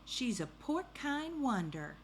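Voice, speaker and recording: A woman voicing a kindly, doting married woman with a gentle, fussing manner in a storybook. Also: on the high side, sing-song, slow pace, a little hiss or hum, pitched above the performer's own voice, full character voice.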